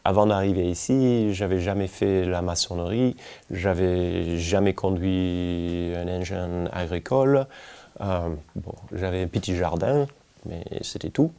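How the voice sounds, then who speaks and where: Slow, low-voiced American man speaking haltingly. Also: creaky, dark tone, clean tone. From United States, Kentucky. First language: English. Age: 40s. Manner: hesitant, halting, searching for words. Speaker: winemaker. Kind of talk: relaxed documentary interview, telling life story.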